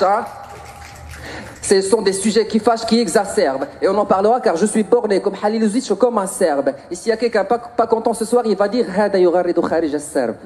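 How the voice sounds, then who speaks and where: A man with a Moroccan accent performing spoken-word slam poetry in a theatrical, rhythmic, punchy delivery with drawn-out line endings. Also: high voice, darkish tone. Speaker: slam poet.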